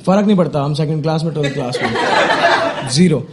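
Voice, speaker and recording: Young male Indian comic, high-pitched and exasperated, telling stories conversationally in a noisy live club. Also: fast. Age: young adult. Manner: conversational, exasperated, acting out dialogues. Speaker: stand-up comedian. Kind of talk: stand-up comedy storytelling in casual Hinglish. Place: small indoor comedy club stage. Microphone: handheld microphone. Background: audience laughter and noise.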